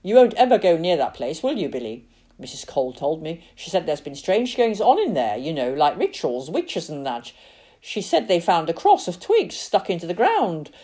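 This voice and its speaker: A woman's voice: lowish voice, warm tone, breathy edge, full character voice. A woman voicing a gossipy, nagging middle-aged aunt who chatters on about hearsay and scolds a boy at the supper table.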